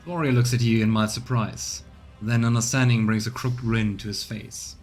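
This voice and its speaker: A man's voice: lowish voice, steady tone, moderate pace, a little mellow. Male game streamer reading aloud over music. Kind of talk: let's play narration, reading dialogue aloud.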